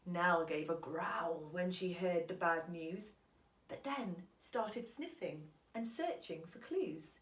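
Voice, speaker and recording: Animated woman reading a children's story. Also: on the low side, takes it slowly, echoey room. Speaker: teacher reading a bedtime story. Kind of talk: expressive children's storybook read-aloud.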